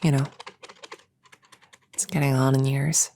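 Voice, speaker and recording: A friendly, slightly bumbling novice witch acted by a woman murmurs through an ASMR roleplay. The voice is low, with a creaky, breathy edge that often slips into a whisper. The delivery is unhurried, casual and a little hesitant. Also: little pitch movement, mellow. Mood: musing, casual. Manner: hesitant, casual, self-correcting. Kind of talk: soft-spoken ASMR roleplay.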